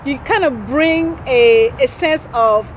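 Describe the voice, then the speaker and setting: A woman's voice: sits high, takes it slowly, slight background noise. Earnest Cameroon-born woman in her thirties. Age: 30s. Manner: earnest, with frequent restarts and laughs. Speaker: nonprofit founder. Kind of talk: conversational interview answers.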